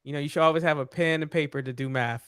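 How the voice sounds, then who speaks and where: High, bright-voiced teasing man. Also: fairly even intonation, quick pace, smooth, dry recording, clean studio sound. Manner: teasing, good-natured ribbing. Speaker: podcast co-host. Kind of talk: casual podcast banter.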